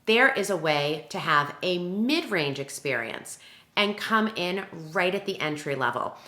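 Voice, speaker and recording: A woman's voice: fairly low pitch, pushed and bright, reverberant. A woman working as a wedding planner gives a conversational, instructional walkthrough, emphatic and often posing questions that she answers herself. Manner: emphatic, self-questioning, talks through examples aloud. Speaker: wedding planner presenting a tutorial.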